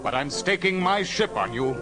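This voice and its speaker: Stern ship's captain, authoritative and animated, slightly high voice, faint music, children's adventure record. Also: male voice, normal speed. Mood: grave, commanding. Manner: clipped, authoritative orders. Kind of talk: children's audio adventure acting.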